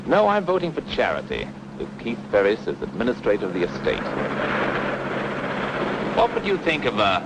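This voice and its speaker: An actor playing a smug, scheming ex-husband with mocking, drawling sarcasm in an old radio drama. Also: male voice, lowish voice, huge pitch swings, normal speed, pauses often, heavy background noise. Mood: scheming, cynical.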